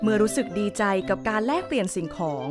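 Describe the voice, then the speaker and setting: A woman's voice: medium pitch, animated, fast, over music. A woman narrating a scripted children's fairy tale as a storyteller.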